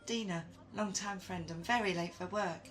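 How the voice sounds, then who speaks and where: A woman playing a loyal, cheeky friend who is always rushing, brisk, blunt and teasing, in an audio roleplay. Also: mid-range voice, fairly bright tone, soft background music, lightly acted.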